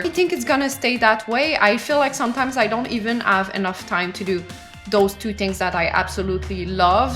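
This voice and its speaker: A woman's voice: mid-range voice, bright, forceful tone. A woman in her twenties with a French Canadian accent, a native French speaker, chatting casually and unscripted as a vlogger answering questions. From Quebec, Canada. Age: 20s.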